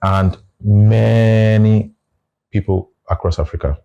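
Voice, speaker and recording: A man's voice: fairly low pitch, steady tone, slow, gravelly, mellow, lo-fi sound. A Nigerian man in his forties with a Nigerian English accent, speaking as an interview guest and reflecting aloud in casual conversation.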